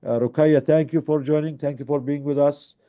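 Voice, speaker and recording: A man hosting a webinar on a video call, talking haltingly with many fillers. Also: fairly high pitch, fairly even intonation, muffled recording. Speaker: webinar host. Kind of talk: webinar moderation. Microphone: computer mic on a video call.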